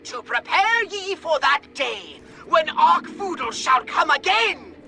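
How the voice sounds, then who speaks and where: A man voicing a pompous, excitable alien commander in a video game, speaking in a grandiose, theatrical, wordy way. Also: very high voice, takes it slowly, gravelly, a little hiss or hum, exaggerated voice. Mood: fervent. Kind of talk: video game cutscene acting.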